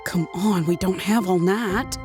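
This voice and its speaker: A woman's voice: on the low side, measured pace, over music, close to an everyday voice. Smokey, a sardonic, brazen outlaw woman, played by an actress. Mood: impatient. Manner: dry, taunting. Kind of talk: western audio drama acting.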